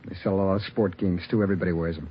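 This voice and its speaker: A man's voice: low-pitched, fairly even intonation, rapid-fire, husky. An actor playing a nervous man suspected of murder, in 1940s radio drama acting, evasive and defensive. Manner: evasive, defensive, rising to agitated outbursts. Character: nervous male murder suspect under interrogation. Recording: dull old radio broadcast.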